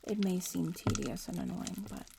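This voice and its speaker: Girl doing a bratty young witch in training, asmr roleplay, soft and close, bossy but comforting, lots of crinkly noise behind her. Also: on the low side, huge pitch swings, normal speed, gravelly, full character voice. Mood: instructive. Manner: casual, teasing, matter-of-fact instructions. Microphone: close-up ASMR microphone.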